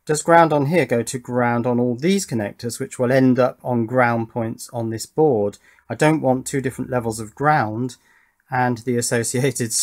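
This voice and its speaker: A middle-aged man talks through hobby electronics at an indoor bench. His pitch is average for a man. He thinks aloud in a measured, casual way, often asking himself questions and then answering them. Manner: musing, self-questioning, measured. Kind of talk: casual hands-on workbench commentary, thinking aloud.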